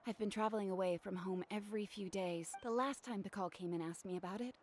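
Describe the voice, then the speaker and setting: A woman's voice: mid-range voice, fairly even intonation, fast, a little mellow, a little hiss or hum, lightly acted. A woman playing a polite, hesitant craftswoman in an anime fantasy video game. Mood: polite, uneasy.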